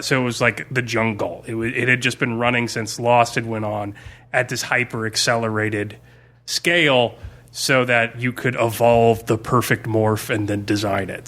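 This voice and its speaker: A man with a bright, forceful voice at an ordinary male pitch talks through his work as a game master. He is informal and sweary, padding his explanations with filler words as he goes. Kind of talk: casual post-game discussion.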